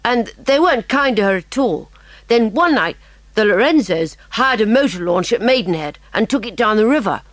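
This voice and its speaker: A woman's voice: on the high side, animated, normal speed, no room sound, close to an everyday voice. A woman playing a clever, polite, eager schoolboy detective in a dramatised children's audiobook. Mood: eager explaining. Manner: polite, explanatory, modest, slightly hesitant. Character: bright, eager, clever boy detective named Fatty.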